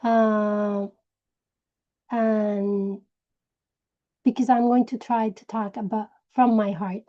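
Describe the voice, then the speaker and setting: A woman from Puerto Rico with a Puerto Rican Spanish accent, Spanish her first language, telling her personal story slowly and searching for words. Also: mid-range voice, little pitch movement, warm tone, highs cut off. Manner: slow, searching for words, many restarts. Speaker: direct sales director. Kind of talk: personal story told to a group.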